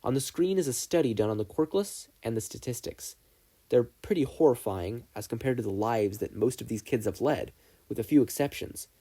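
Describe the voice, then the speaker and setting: Husky, mellow male story narrator. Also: medium pitch, animated, normal speed. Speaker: podfic narrator.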